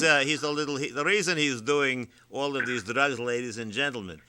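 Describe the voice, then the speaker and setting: Deadpan radio host guy, teasing, trips over his words, kinda high voice. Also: clean tone. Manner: deadpan, teasing, stammers on restarts. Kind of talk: comedic radio banter.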